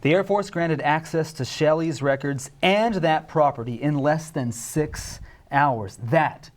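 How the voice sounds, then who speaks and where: A man's voice: fairly high pitch, a little slow, close to an everyday voice. A man playing an earnest, exasperated police colleague who presses his point in a screenplay table read. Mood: firm, weary.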